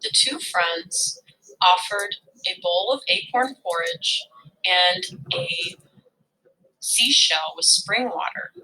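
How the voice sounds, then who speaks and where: A woman reading a legend aloud in an expressive storytelling style. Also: medium pitch, slow pace, low-quality recording. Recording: music behind the voice. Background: music.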